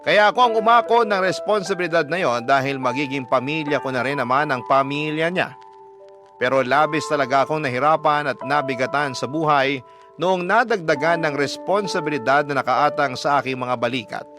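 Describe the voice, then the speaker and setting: A male actor voices an earnest young fiancé telling his story as a scripted radio letter. His voice is fairly high, plaintive and measured, with loud music behind it. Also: close to an everyday voice. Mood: burdened. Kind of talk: scripted first-person letter narration.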